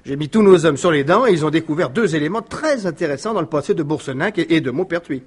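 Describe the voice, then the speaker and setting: Eager, husky, higher-voiced police deputy. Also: male voice, animated, fast. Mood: gleeful. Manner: hesitant build-up, savours revelations, stammers slightly. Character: eager police deputy, gleefully reporting his findings. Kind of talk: 1950s-style French radio crime drama acting.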